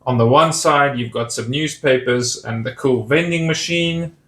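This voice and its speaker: A man's voice: mid-range voice, moderate pace, bright, forceful tone. A man narrating a casual toy set review, pointing out details with easy enthusiasm. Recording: roomy echo on the voice.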